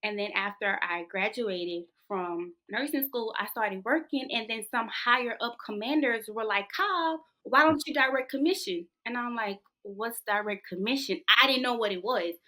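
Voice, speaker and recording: A young woman from Louisiana, a nurse and army officer, chatting as an interview guest and telling her story with lively emphasis. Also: fairly high pitch, moderate pace, few pauses. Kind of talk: casual interview conversation.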